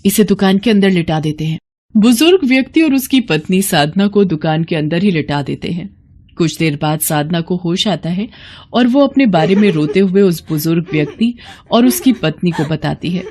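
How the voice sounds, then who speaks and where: A woman's voice: lowish voice, a little mellow. A woman narrating a scripted moral bedtime story as its storyteller.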